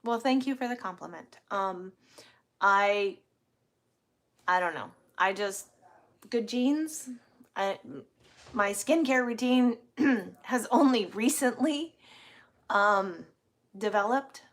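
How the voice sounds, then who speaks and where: A woman's voice: medium pitch, animated, slow pace, pauses often, fairly bright tone. A woman in her forties chatting casually and candidly on a livestream, rambling as she answers viewer comments. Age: 40s. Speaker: livestream host, truck driver.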